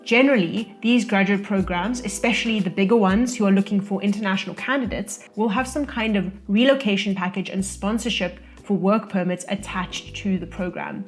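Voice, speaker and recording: A woman's voice: mid-range voice, brisk, hardly any pauses, faint music behind. A young South African woman talking casually to camera, giving career advice from her own experience. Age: young adult. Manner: relaxed, conversational, speaks from own experience. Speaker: vlogger sharing career advice. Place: indoor room, losing daylight.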